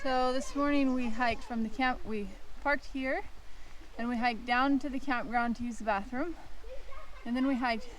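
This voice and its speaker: A woman's voice: a little high, fairly even intonation, moderate pace. A woman giving casual, chatty, rambling narration for a hiking vlog, recorded outdoors on a trail. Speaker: vlog host. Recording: noisy outdoor handheld recording.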